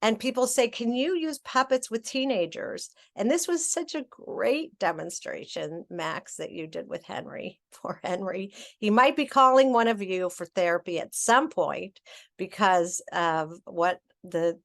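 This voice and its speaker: A woman's voice: on the low side, measured pace, a bit bright. A middle-aged woman giving a training presentation over slides, chatty, with many fillers and self-corrections.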